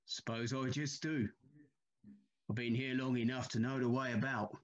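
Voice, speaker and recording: A man playing a troubled railway signalman in an audio drama, haunted and deferential, speaking haltingly. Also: medium pitch, level tone, highs cut off, close to an everyday voice. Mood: grave, uneasy. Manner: slow, halting, deferential, uneasy.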